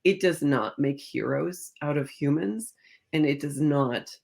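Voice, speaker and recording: A woman's voice: low-pitched, a little slow, creaky, a little mellow. A middle-aged woman speaking as an interview guest, an author telling stories conversationally and acting out bits of reported dialogue. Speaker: guest, historical fiction author.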